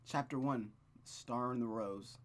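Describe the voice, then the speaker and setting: A gaming host reading a visual novel aloud with casual, rambling, expressive commentary. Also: mid-range voice, unhurried. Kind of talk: casual game commentary and read-aloud narration.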